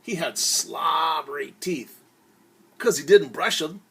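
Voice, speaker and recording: A man's voice: on the high side, expressive, slightly rough. A man telling a story to children as a teacher, in a theatrical way, acting things out and explaining words simply. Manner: theatrical, acts out shouts, whispers and sound words; pauses to explain words simply and invite joining in. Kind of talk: animated children's storytelling with singing and word explanations.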